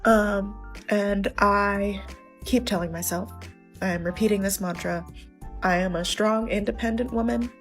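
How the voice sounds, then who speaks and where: A woman's voice: medium pitch, steady tone, measured pace, soft background music. A woman talks in a wry, self-mocking, teary way in a casual confessional vlog.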